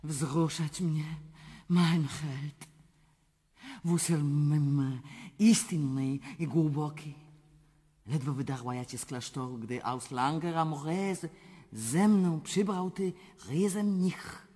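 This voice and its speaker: A mature empress in a theatrical radio play, coquettish yet commanding. She speaks slowly, mixing in German, French and Russian, in a long, reverberant hall. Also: low voice, warm tone, breathy edge, heavily acted. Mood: coquettish, sentimental. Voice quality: foreign-tinged speech mixing German, French and Russian words. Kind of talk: theatrical radio drama acting.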